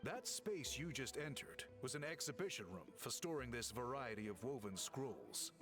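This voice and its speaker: A man acts out a composed scholarly researcher from a video game. He explains in formal, courteous phrases with an earnest air and lively rise and fall. Music sits behind the voice. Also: mid-range voice, close to an everyday voice. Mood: thoughtful. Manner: formal, measured explanatory delivery.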